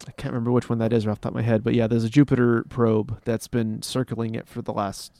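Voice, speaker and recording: A man's voice: mid-range voice, level tone, fast talker, gravelly, warm tone, no room sound. Male, casual podcast co-host; enthusiastic, rambling, many restarts; dry recording. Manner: rambling, restarts often, enthusiastic about space.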